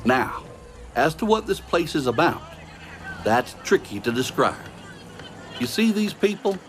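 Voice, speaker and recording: Folksy, animated male mentor. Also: fairly high pitch, slight background noise. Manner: folksy, wry, plain-spoken. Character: folksy, welcoming but firm male pilot mentor. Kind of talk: video game cutscene dialogue.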